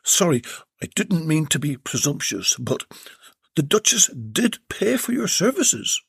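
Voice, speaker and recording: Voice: mid-range voice, big pitch swings, unhurried, creaky, breathy edge, no room sound, clean studio sound, pitched above the performer's own voice, heavily acted. A reader voicing a tallish, apologetic male plumber in a comic storybook, with an earnest, fawning delivery. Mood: eager. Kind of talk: storybook character voice.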